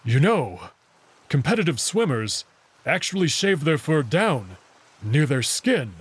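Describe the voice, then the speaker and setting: A man's voice: a little high, sing-song, slow, husky, full character voice. A man voicing a big, proud, teasing young male wolf in a visual novel. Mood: matter-of-fact, friendly. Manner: teasing, confident. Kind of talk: visual novel character voice acting.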